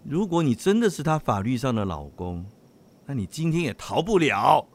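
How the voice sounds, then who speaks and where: An actor playing a bullying government agent in a radio drama, contemptuous and threatening. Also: male voice, mid-range voice, very animated, moderate pace, darkish tone, slight background noise. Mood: sneering. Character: sneering, bullying government agent making an arrest.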